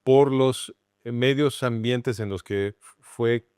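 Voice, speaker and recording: A man's voice: medium pitch, a little mellow, clear, resonant tone, no room sound, studio quality. A middle-aged Mexican man with a Mexican Spanish accent, an anthropologist guest who explains patiently and restarts phrases as he thinks. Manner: explanatory, measured with frequent restarts. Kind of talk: interview conversation.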